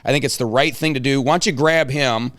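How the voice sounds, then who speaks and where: A man's voice: mid-range voice, a little fast, fairly bright tone. A man in his forties hosting a casual interview, talking informally with slang and rambling asides. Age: 40s. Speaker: host.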